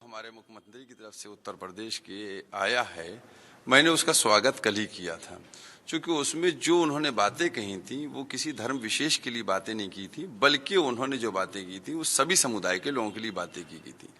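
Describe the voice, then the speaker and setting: A man's voice: fairly low pitch, animated, brisk, bright, forceful tone, long reverb tail, a little hiss or hum. A man giving an emphatic interview sound bite.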